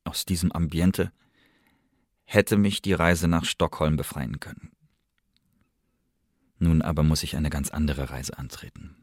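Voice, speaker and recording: A young playwright in a radio play reads a formal, rueful letter to his parents. The voice is deep and earnest, with measured phrasing, recorded very dry. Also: fairly even intonation, dry recording. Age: young adult. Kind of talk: German radio drama, reading a letter aloud.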